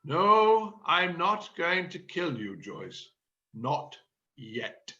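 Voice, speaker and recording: A man's voice: on the high side, very animated, drawn-out words, pushed and bright, highs cut off, heavily acted. A man playing an exasperated, theatrical amateur stage director in a read-through of a comedy play. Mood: forced calm.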